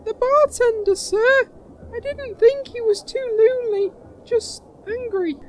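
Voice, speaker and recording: A man's voice: very high-pitched, slow, slight background noise. A man playing an eager, polite, naive young boy sidekick in a comedy fantasy audio drama. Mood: sheepish, earnest. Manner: deferential, chirpy, earnest.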